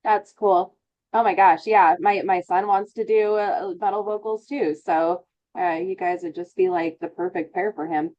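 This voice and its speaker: A woman's voice: lowish voice, fairly even intonation, moderate pace. Middle-aged female interviewer, eager and chatty, muffled lo-fi line. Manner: enthusiastic, chatty. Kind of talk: casual interview chat. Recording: dull lo-fi remote audio.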